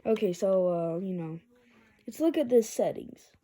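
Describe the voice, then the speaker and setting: Mellow, rambling amateur video reviewer. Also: medium pitch, expressive, measured pace. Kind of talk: casual amateur product review vlog.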